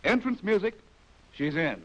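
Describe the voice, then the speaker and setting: Animated American man, vintage newsreel-style narrator. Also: high voice, measured pace, highs cut off. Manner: wry, arch, theatrical phrasing. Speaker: narrator of a military training film. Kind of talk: scripted 1940s documentary narration. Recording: vintage 1940s film soundtrack.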